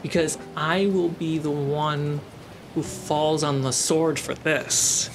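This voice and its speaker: Man playing a shaken, protective seafaring uncle, slow. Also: fairly high pitch, steady tone, background noise. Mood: earnest, guarded. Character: shaken, protective uncle aboard ship, holding feelings down.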